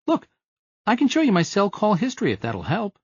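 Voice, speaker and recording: A man's voice: high-pitched, wildly swooping pitch, brisk, darkish tone, husky, no room sound, dull audio, voice pushed up, exaggerated voice. A male narrator voicing a jittery, fidgety man who keeps pleading to be trusted. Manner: pleading, insistent.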